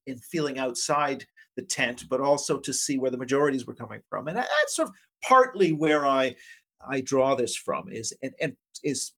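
A middle-aged man speaks as an academic guest in an interview. His voice sits at a medium pitch. He explains things thoughtfully and conversationally, hedging as he works through each point. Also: gravelly, a bit bright. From Vancouver, Canada, and partly Asia. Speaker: interview guest, politics professor.

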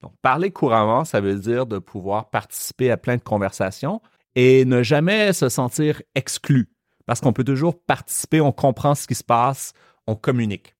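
A man with a Quebec French accent hosting a podcast lesson, explaining slowly and carefully. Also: medium pitch, no room sound, studio quality. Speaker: French teacher and podcast host.

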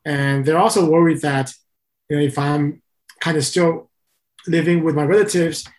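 A man from Taiwan, an interview guest and company founder, answering in a calm, methodical way. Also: fairly high pitch, normal speed.

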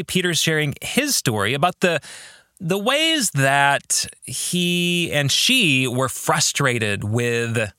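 A middle-aged man hosts a reflective podcast monologue on his own, speaking earnestly and deliberately and stretching phrases for emphasis. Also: a little high, bright, forceful tone, no echo, clean studio sound. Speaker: podcast host and author. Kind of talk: reflective monologue about faith and fiction.

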